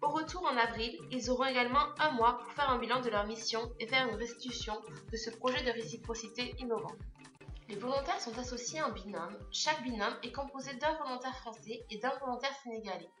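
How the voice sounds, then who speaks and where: A woman delivers scripted narration in a fairly high voice. The room adds clear echo, and light music plays behind her. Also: fairly quick. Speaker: narrator of a project presentation. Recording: roomy echo, faint music underneath.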